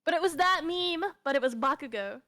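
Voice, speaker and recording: High-pitched girl guest chatting, slangy, keeps laughing and rambling. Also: animated, fairly quick, pushed and bright. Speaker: guest streamer. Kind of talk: casual chat.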